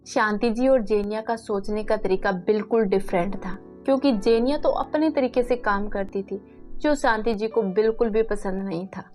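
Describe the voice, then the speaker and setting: A woman's voice: mid-range voice, normal speed, a little mellow, soft background music. A woman storyteller delivering scripted narration of a family tale.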